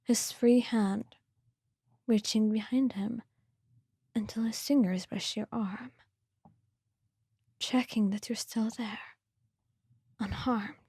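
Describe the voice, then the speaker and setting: A woman reading scripted ASMR narration in a soft, breathy whisper, with a gentle, hushed storytelling manner. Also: fairly high pitch, fairly even intonation, slow, long pauses, dry recording, studio quality.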